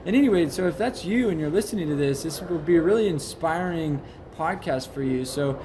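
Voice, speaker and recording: A man hosting a podcast in energetic, joking casual chat with frequent restarts. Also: a little high, a little fast, crowd noise, rough recording. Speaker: podcast host.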